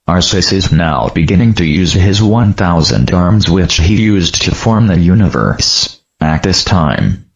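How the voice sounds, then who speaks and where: A man voicing a grim, formal emergency alert announcer in a scripted doomsday broadcast, with flat delivery. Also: low voice. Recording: dull lo-fi audio.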